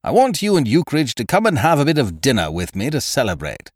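A man voicing a cheerful, excitable male government official who speaks with eager fervour. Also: mid-range voice, a little fast, slightly rough, no room sound, studio quality, pitched above the performer's own voice. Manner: fervent, eager.

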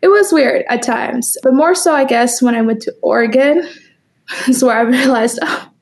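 A woman's voice: high-pitched, moderate pace, darkish tone. A young woman who grew up speaking Swahili and Kikuyu first, talking casually and chattily as an interview guest. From United States, raised in Kansas after early childhood in East Africa. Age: young adult. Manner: chatty, informal, laughs often while talking. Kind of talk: casual personal conversation.

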